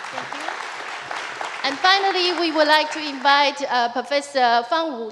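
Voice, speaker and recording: Woman host with a high voice introducing panelists on stage, lots of uhs, long hall reverb and a bit of music behind. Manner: halting, many fillers and restarts. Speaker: moderator. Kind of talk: live panel moderation.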